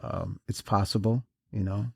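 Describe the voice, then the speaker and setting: A man hosting a podcast interview in a casual, halting way, often restarting and repeating words. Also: on the low side, steady tone, gravelly, darkish tone, slightly rough, dry recording, clean studio sound. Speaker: podcast host.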